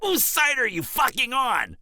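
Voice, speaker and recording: A man's voice: very high-pitched, huge pitch swings, measured pace, pushed and bright, no room sound, studio quality, heavily acted. A man playing a foul-mouthed, indignant vampire henchman in an audio roleplay, protesting in an aggrieved way. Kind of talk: dramatic audio roleplay acting.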